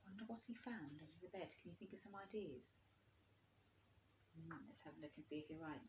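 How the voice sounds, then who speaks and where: A woman's voice: deep voice, brisk, noisy background. A woman reading a storybook aloud to young children like a teacher, expressively and with questions for her listeners. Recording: roomy, echoing indoor sound.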